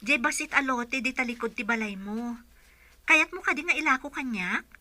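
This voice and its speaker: A woman's voice: fairly high pitch, lively intonation, moderate pace, bright, forceful tone, dry recording. A woman playing an eager, coaxing, businesslike woman in an audio drama, conversational in delivery.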